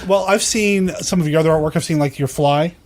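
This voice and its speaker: A man's voice: on the high side, brisk. A man hosting a podcast, talking in a casual, conversational style. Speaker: podcast host. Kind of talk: solo show intro and casual interview chat.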